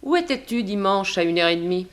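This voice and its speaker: Casting: actress as calculating, teasing mistress for vintage radio drama; mid-register voice, insistent and cutting. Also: female voice, mid-range voice, animated, fairly quick, natural voice. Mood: accusing. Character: pretty, demanding, shrewd mistress of a rich man. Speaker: actor. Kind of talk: early 1960s French radio drama acting.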